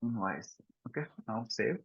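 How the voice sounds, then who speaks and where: A man with an Indian Telugu accent gives a step-by-step software tutorial as a trainer, mixing two languages and often checking that learners follow with short questions. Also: fairly low pitch, lively intonation, very slow, vocal fry, breathy edge. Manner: instructional, repeatedly checking understanding with short questions. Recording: dull, roomy lo-fi screen recording.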